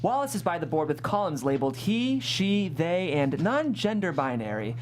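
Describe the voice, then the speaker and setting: Give the voice of a lively stage direction reader at a screenplay table read. The pitch moves around a good deal over a middle register, with a slight hum behind it. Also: normal speed. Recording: hum and background noise.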